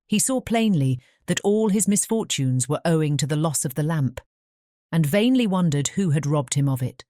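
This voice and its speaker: A woman's voice: low-pitched, animated, moderate pace, warm tone, no echo, clean studio sound. A woman narrating a fairy-tale audiobook in a scripted storybook style.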